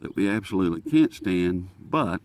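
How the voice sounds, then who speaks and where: Male, American accent, low voice; informal hobby reviewer, hesitant and off the cuff, recorded outdoors. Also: expressive, darkish tone. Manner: hesitant, halting, thinking aloud. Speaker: host of a radio review video. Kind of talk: casual, unscripted product review.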